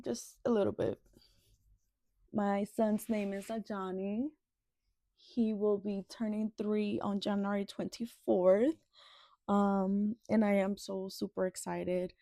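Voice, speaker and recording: A woman's voice: mid-range voice, little pitch movement, slow, mellow, dry recording, studio quality. A woman in her twenties from the Dominican Republic, chatting casually and ramblingly as a vlogger getting ready. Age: 20s. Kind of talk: casual get-ready-with-me chat.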